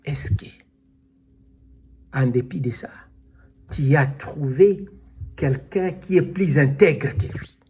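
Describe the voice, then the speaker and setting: A man preaching a sermon, slow and emphatic, calling out alleluia as he explains scripture. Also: mid-range voice, a little mellow, slightly rough. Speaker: pastor.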